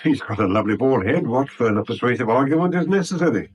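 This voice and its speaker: An actor playing an uneasy, subdued English husband and bank manager in a 1970s radio drama. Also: male voice, mid-range voice, expressive, fast talker, darkish tone, lightly acted. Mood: fond, wry.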